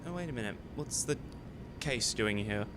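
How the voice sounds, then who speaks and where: A man playing a gullible, excitable time traveller in a radio farce, eager and easily flustered. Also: moderate pace, lightly acted. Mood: excited. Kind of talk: radio play farce acting. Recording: clear background noise with vehicle-like rumble.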